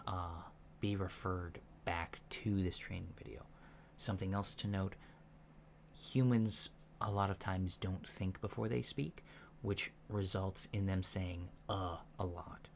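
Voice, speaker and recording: A soft-spoken man in an ASMR roleplay, playing a calm alien instructor with a halting, deliberate, matter-of-fact delivery, in a lo-fi recording. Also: deep voice. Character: calm alien instructor briefing disguised recruits on humans. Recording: lo-fi recording with faint background noise.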